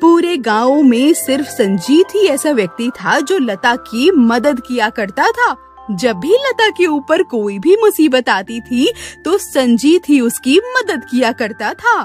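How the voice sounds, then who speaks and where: A woman narrating a scripted children's moral story in an expressive, sympathetic way. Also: high voice, takes it slowly. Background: music.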